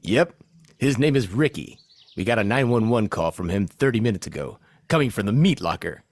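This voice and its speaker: A man's voice: on the low side, animated, brisk. A man playing a cocky, chatty zombie extraction team leader in a comedic audio play, briefing in a self-satisfied way. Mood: matter-of-fact.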